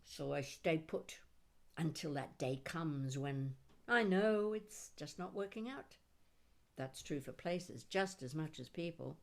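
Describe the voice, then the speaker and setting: A mature woman performs spoken-word poetry in a fairly low voice. She takes her time, placing each phrase deliberately and leaving dramatic pauses between them. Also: darkish tone. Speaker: poet reading own work.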